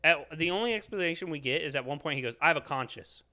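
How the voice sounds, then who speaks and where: A man's voice: on the high side, animated, quick pace, bright, forceful tone. A man hosting a podcast in a casual movie review chat, exasperated and slipping into mock dialogue. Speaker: podcast host.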